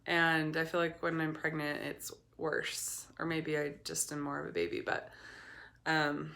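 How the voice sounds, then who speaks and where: A young adult woman, hoarse and raspy from a cold, talking candidly to camera as a recovery coach, with many ums and restarts. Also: low voice, completely flat delivery, measured pace, bright, forceful tone. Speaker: eating disorder recovery coach. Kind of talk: casual talk to camera.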